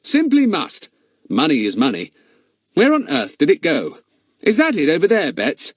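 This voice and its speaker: A man reading an audiobook, voicing a clever, confident boy who gives brisk orders in a slightly raised voice. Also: on the high side, wildly swooping pitch, husky, no echo, studio quality, voice pushed up, heavily acted. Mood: feigned fuss. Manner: brisk, commanding, a little raised. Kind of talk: audiobook character voice.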